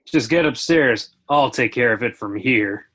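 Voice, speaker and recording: Guy reading a heroic earnest dude, middle pitch, preachy good-guy lines at a table read. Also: a little slow, a bit bright. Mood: firm. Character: earnest, righteous action hero urging others on. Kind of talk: script read aloud at a podcast table read.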